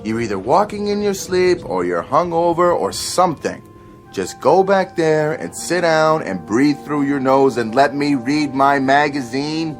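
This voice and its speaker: An actor playing a gruff, impatient bus depot ticket clerk in a radio drama, curt and sardonic. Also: male voice, a little high, animated, unhurried, faint music behind. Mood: irritated, sarcastic.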